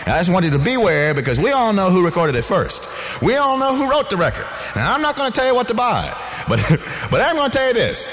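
A man's voice: high voice, wildly swooping pitch, fast talker, a bit bright, a little hiss or hum. A man working as a radio DJ, rambling and wisecracking in loose, filler-heavy patter in a 1970s FM studio. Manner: loose, rambling, joking with "you know" fillers.

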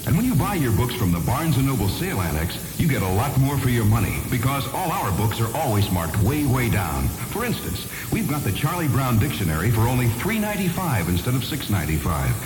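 A man's voice: mid-range voice, sing-song, a little fast, hardly any pauses. A man reading a scripted retail radio commercial as the announcer. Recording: reverberant 1970s AM radio. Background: music.

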